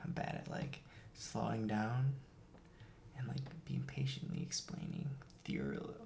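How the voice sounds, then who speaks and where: A man's voice: on the low side, wildly swooping pitch, slow, gravelly, a little mellow, slight background noise. A young man co-hosting a podcast, chatting casually and animatedly, jumping between tangents and laughing often. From Filipino family background. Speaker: podcast co-host. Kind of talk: casual chat.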